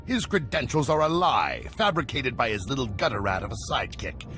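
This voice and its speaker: A man's voice: medium pitch, sing-song, a little fast, a bit bright, soft background music. An actor playing a proud, indignant male rival hunter who speaks in a pompous, outraged way.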